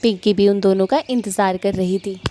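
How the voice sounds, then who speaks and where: A woman narrating a cartoon story in a plain, steady storytelling manner. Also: medium pitch, moderate pace, a little hiss or hum.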